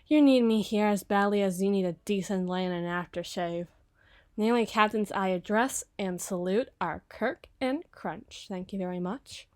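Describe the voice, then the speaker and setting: A sardonic young detective with a sharp, obsessive streak, voiced by a woman in an ASMR roleplay. She talks in a sarcastic, teasing way and lingers on morbid details. Her voice sits at an ordinary middle pitch. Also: normal speed, lightly acted.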